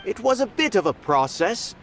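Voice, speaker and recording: Lively, easygoing young man. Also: sits high, normal speed, a little hiss or hum, exaggerated voice. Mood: wry. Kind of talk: scripted video game dialogue.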